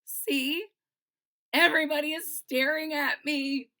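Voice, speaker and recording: A woman's voice: steady tone, takes it slowly, pushed and bright, exaggerated voice. Woman doing a jittery little bean boy. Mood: panicked. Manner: fretful, quavering, rising to shrill cries. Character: nervous little male bean, timid, anxious.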